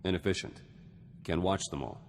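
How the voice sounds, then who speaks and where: Game role: battle-worn veteran, low-voiced, terse, suspicious, echoing space with faint noise. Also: male voice, moderate pace. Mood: guarded, terse. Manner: clipped, terse fragments. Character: gruff, distrustful male war veteran, terse and efficient.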